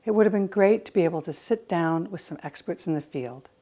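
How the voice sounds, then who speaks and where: A woman's voice: fairly low pitch, fairly quick, warm tone. A woman presenter giving an introductory presentation.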